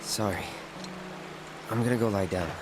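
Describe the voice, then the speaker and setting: A man's voice: on the low side, normal speed, over loud music, close to an everyday voice. A man voicing an aloof, blunt young mercenary in a video game, speaking in a curt, guarded way. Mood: withdrawn. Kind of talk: English-language video game voice acting.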